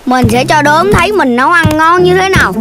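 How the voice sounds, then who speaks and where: Very high-pitched, boastful cartoon kid animal. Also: female voice. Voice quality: high, childlike. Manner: boastful, whiny when tired, drawn-out. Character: boastful, big-bellied child animal who loves food. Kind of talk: children's cartoon voice acting. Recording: music playing behind the voice.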